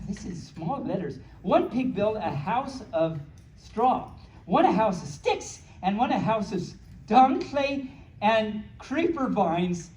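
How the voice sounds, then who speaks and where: A playful storyteller reading picture books aloud to a small audience, with childlike, cutesy phrasing and excited asides. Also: medium pitch. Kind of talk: playful live story time with ad-libbed asides. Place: small room at a convention. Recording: echoey room from far off, faint noise.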